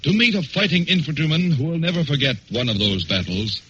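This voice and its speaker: American man, dramatic 1940s news narrator, lively intonation, muffled old recording. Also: a little high, brisk. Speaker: radio program announcer. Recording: dull, noisy 1940s radio recording.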